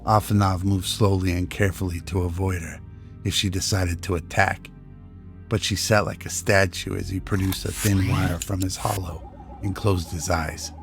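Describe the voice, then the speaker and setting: Male narrator for scripted audio drama, flat contour, slow pace, soft music bed. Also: deep voice.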